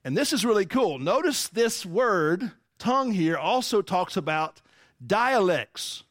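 A man's voice: high voice, sing-song, measured pace, fairly bright tone, no echo, studio quality. A man preaching a sermon, teaching emphatically and asking the congregation rhetorical questions. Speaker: pastor.

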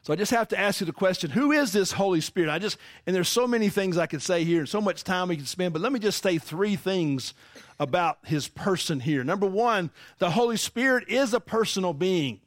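A man's voice: sits high, lively intonation, fairly quick, dry recording, studio quality. A man preaching a sermon, teaching emphatically and asking the congregation rhetorical questions. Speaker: pastor.

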